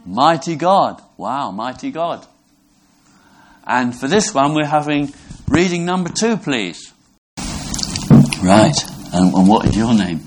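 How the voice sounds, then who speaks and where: Earnest, halting English preacher. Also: male voice, mid-range voice, big pitch swings, a little hiss or hum. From Brixham, England. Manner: earnest, halting, restarts words. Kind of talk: sermon to a congregation.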